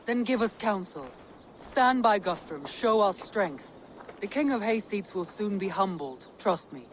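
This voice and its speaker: A woman's voice: mid-range voice, lively intonation, unhurried, slight background noise, lightly acted, poor audio. An actress playing a confident, loyal Viking woman in a video game, assured and firm. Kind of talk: dramatic video game acting.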